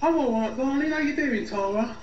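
A distant, muffled recording carries a man acting a petulant British boyfriend in a radio drama. His voice is strikingly high as he grumbles and defends his idle life in colloquial speech. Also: a little fast, reverberant, a little hiss or hum. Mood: casual, defensive. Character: unemployed, whiny, defensive boyfriend, cheeky layabout.

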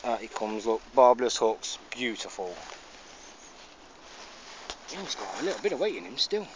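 A man gives casual, sweary, excitable commentary while fishing outdoors, often talking to the fish. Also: mid-range voice, unhurried. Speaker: angler presenting his fishing video. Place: outdoors on a lake bank. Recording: dull lo-fi audio with loud outdoor noise.

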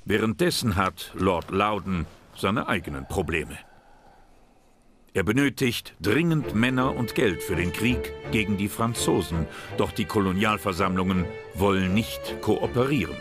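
Low-voiced male documentary narrator. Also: animated. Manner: measured, serious. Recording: narration over loud music.

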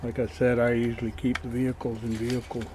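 A man giving a casual show-and-tell as a toy collector, low-key and matter-of-fact, on an amateur camera microphone. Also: completely flat delivery, fast, darkish tone, slight background noise. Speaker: toy collector showing his finds.

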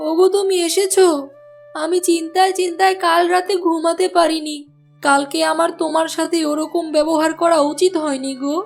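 A woman's voice: very high voice, level tone, normal speed, gravelly. A woman voicing a worried, tender village wife in a cartoon, speaking plaintively. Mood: worried, apologetic. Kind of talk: Bengali cartoon dialogue. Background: soft music.

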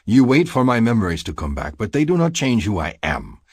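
A man's voice: lowish voice, sing-song, moderate pace, darkish tone, slightly rough, no echo, voice pushed up. A man voicing an earnest, devoted hunter in an audiobook romance, speaking intensely in formal words without contractions. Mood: frustrated, intense.

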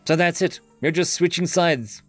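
A man voicing a sharp alien warlady. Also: fairly low pitch, normal speed, soft background music, voice pushed up, lightly acted. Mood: hostile. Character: tough wary female alien warlord.

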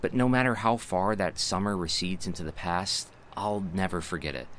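An actor playing a reflective young man who narrates his memories of a formative summer in a measured, wistful way for an audio drama. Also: low-pitched, animated, a bit bright, slight background noise.

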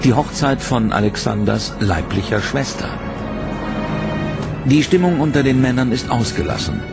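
A man narrating a history documentary from a script, in a measured, dramatic way. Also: mid-range voice, gravelly, over loud music.

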